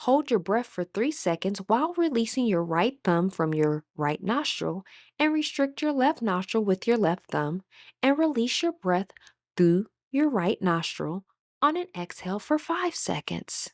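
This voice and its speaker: A woman leading a scripted guided meditation as an instructor, with a measured, soothing, instructional delivery. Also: medium pitch, very animated, a little mellow, dry recording, studio quality.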